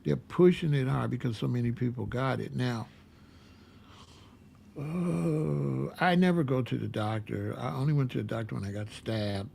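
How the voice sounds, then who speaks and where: A man in his sixties telling a personal story in a casual, rambling way, repeating himself and filling pauses with "uh". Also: medium pitch, vocal fry, darkish tone, breathy edge. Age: 60s. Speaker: vlogger.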